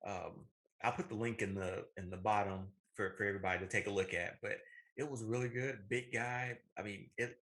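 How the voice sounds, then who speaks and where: A man's voice: on the low side, steady tone, fairly quick, a bit bright. A man co-hosting a movie talk show, chatting casually. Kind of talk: casual chat.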